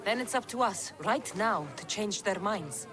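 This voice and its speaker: An actress playing a bold, determined ancient mercenary in a video game, speaking directly. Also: female voice, medium pitch, expressive, measured pace, close to an everyday voice. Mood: resolute. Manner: direct, resolute.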